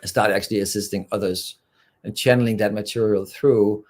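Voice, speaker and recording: A man talks as a guest, his voice sitting on the low side. He rambles earnestly, circling back on his words and leaning on "you know" between thoughts. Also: fairly even intonation, a little fast. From Denmark. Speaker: guest, spiritual channeler. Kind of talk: casual interview talk.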